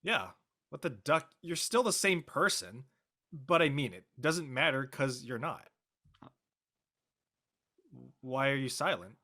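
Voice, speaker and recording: Bright, lively teenage jock played by a man. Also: mid-range voice, a little slow, exaggerated voice. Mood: puzzled, sincere. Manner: slangy, exclamatory. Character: excitable, loyal teenage jock, high school senior boy. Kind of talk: naturalistic dialogue in a live-streamed audio play.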